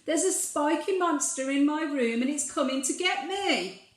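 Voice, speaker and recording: A woman's voice: high-pitched, expressive, normal speed, reverberant, pitched above the performer's own voice. A woman voicing a young, anxious bear cub in a storybook, crying out and squealing in fright. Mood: panicked.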